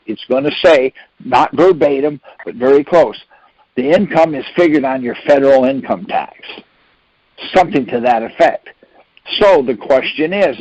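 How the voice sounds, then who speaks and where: A man's voice: mid-range voice, darkish tone, dry recording. A man leading a conference call, giving an informal instructional talk in a folksy way, with rhetorical questions and frequent check-ins. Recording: dull lo-fi conference call audio.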